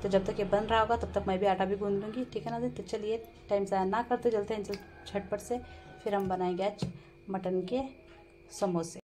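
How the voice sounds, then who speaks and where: A woman's voice: mid-range voice, fairly even intonation, fairly quick, warm tone. A woman giving a casual step-by-step cooking narration, often addressing viewers directly and repeating her instructions. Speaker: home cook and vlogger presenting a recipe. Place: home kitchen. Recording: clear kitchen noise, clattering pots and sizzling. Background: pots clanking, frying and stirring.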